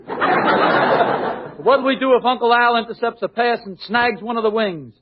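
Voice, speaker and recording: An eager young son in an old radio comedy. The voice is very high, and it comes through dull and far from the microphone. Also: male voice, measured pace, some chatter behind. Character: eager young son on family eating team. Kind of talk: 1940s radio comedy sketch.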